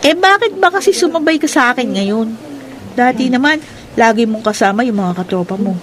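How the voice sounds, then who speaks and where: A woman's voice: fairly high pitch, expressive, a little fast, a crowd in the background, lightly acted. An actress playing a young female student who teases a classmate in a radio drama. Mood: teasing. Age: young adult. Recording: noisy radio drama recording.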